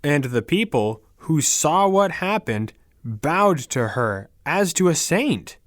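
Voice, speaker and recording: Very slow, deliberate male storyteller. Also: a little high, very animated, no room sound, clean studio sound. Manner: deliberate, carefully enunciated phrasing with long pauses. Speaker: story narrator. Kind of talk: slow scripted fairy-tale narration for language learners.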